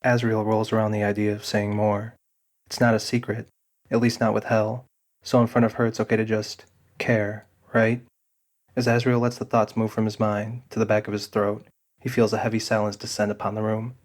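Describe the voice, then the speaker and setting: A man giving a scripted, deliberate narration of a fantasy audio drama. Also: lowish voice, flat delivery.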